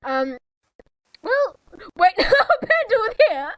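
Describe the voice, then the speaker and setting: Teen female co-host, very high voice, lively swooping pitch, rambling casual banter with sarcastic asides. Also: takes it slowly, no echo, poor audio. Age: teens. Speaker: co-host of a fanfiction reading. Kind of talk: casual chat with mocking read-aloud commentary.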